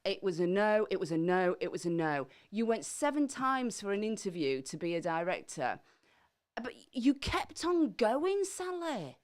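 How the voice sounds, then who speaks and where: A woman's voice: mid-range voice, sing-song, moderate pace. A woman with a Yorkshire accent, hosting a radio interview, encouraging her guest and often restarting her sentences. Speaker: radio host.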